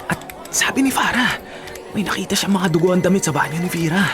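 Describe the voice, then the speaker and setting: A woman playing an anxious, loyal young friend with a Filipino accent, in dramatic, halting radio drama acting. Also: fairly low pitch, animated, lightly acted. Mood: worried, disbelieving. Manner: dramatic, halting when distressed, breathless exclamations. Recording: loud dramatic music behind. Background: loud music.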